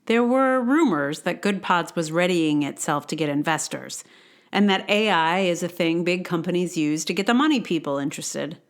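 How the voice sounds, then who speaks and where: A woman hosting a podcast, reading a personal essay in a conversational, wry and self-deprecating way with emphatic turns. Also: on the low side. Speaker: podcast host.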